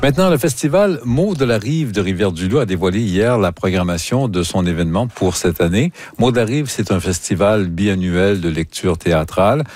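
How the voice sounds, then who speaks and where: A man's voice: on the low side, animated, brisk, few pauses, darkish tone, no room sound. A man with a Quebec French accent presenting arts news as a radio host.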